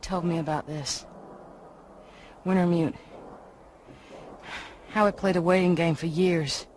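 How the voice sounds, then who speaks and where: A woman's voice: fairly low pitch, steady tone, slow. Cool, sardonic tough woman, faint noise behind. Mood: cool, confiding. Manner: clipped, wry. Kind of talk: radio drama acting.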